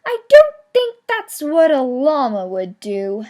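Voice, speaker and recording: A woman's voice: high-pitched, wildly swooping pitch, takes it slowly, a little mellow, full character voice. A woman voicing polite young picture-book animals who patiently and emphatically explain things.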